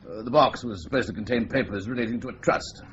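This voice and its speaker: An actor playing a senior police officer briefing an inspector, in a clipped, brisk radio drama manner. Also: male voice, medium pitch, fairly bright tone, slight background noise, close to an everyday voice. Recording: dull lo-fi radio recording.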